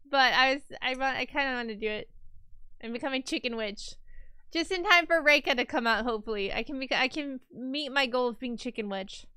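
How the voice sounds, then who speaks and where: Bright, high-voiced woman streamer. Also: smooth, no room sound, clean studio sound. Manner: chatty, rambling, frequent fillers and restarts. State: slightly stuffy from a cold. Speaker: livestreamer. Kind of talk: casual chat with viewers.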